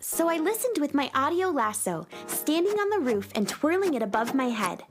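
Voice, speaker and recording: Woman playing a plucky, high-voiced young space cowgirl. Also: sing-song, normal speed, soft background music. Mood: excited storytelling. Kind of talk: children's radio serial acting and narration.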